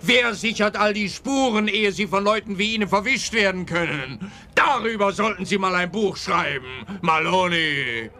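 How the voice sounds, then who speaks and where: A man's voice: sits high, normal speed, sharp tone. An actor playing an exasperated, self-righteous policeman in a radio play. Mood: indignant.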